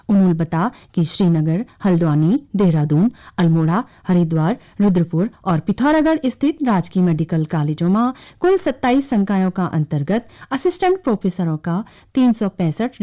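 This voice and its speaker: A woman reads scripted regional radio news in a Kumaoni accent. Her voice sits at a medium pitch and has a soft, mellow tone. The intonation moves up and down in a lively way.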